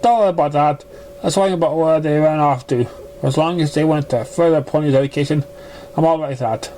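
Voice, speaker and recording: A man reading a story aloud as an amateur narrator, stumbling and halting over the words. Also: fairly high pitch, dark tone, low-quality recording. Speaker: amateur fan fiction reader. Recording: noticeable background noise, amateur recording.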